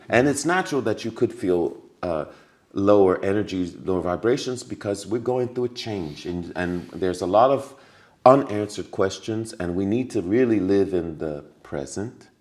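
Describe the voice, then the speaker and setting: A man's voice: deep voice, darkish tone. A man talking as a podcast guest, telling stories earnestly with many restarts and repetitions. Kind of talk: casual conversation.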